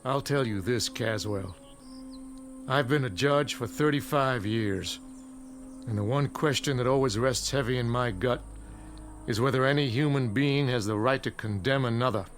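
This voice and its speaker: An older man acting a grave, weary frontier judge in a Western audio drama, speaking in a solemn, formal, measured way. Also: medium pitch, faint music behind, lightly acted.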